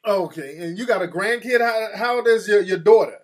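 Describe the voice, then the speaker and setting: A man hosting a call-in show, blunt and joking, firing off quick questions and laughing often. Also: sits high. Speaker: host. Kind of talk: casual chat.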